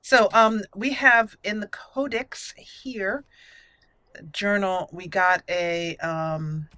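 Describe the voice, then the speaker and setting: A woman giving casual, playful gameplay commentary with animated reactions, now and then reading game text aloud. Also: mid-range voice, very slow, no echo. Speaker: host of a game roleplay channel.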